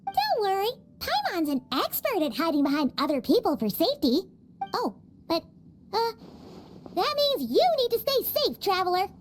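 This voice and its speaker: An actress in a video game voicing a tiny childlike fairy companion, excitable, timid and chatty, with a cute squeaky voice. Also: female voice, normal speed, slight background noise, full character voice. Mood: anxious, cheeky.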